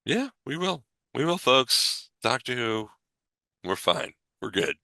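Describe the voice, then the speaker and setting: A man's voice: mid-range voice, sing-song, slow pace, sharp tone, no room sound, clean studio sound. A man hosting a podcast in casual, reassuring chat, often repeating himself. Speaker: podcast host.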